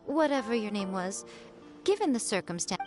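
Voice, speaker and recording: A woman's voice: medium pitch, very animated, normal speed, lightly acted. A woman voicing a sly, haughty fox shrine priestess in a video game, languid, teasing and condescending. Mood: amused, haughty. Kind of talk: video game dialogue acting. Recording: clear game music behind the voice.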